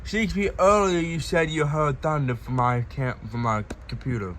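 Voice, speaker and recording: Higher-voiced man chatting haltingly. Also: fairly bright tone, a little hiss or hum. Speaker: fellow weather radio hobbyist. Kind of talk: casual chat.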